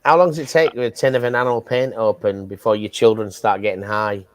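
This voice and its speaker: A man's voice: on the low side, fairly even intonation, fairly quick, no room sound. A man on a hobby panel, bantering casually in a wry, teasing way.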